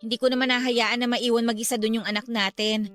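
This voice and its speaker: A woman's voice: fairly high pitch, quick pace, faint music behind, natural voice. A woman playing a weary, patient wife and mother in a Filipino radio drama. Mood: suspicious, tired. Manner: polite, expressive.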